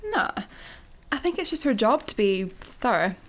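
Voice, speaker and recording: A woman's voice: a little high, very animated, moderate pace, fairly bright tone. An actress with a Scottish accent playing an earnest, awkward young female librarian in a comic sci-fi radio play.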